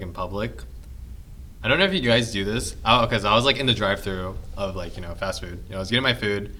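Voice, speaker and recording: A man's voice: lowish voice, sharp tone. A young man telling rambling stories to friends in a casual podcast chat, full of fillers. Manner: rambling storyteller, many fillers. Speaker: podcast co-host.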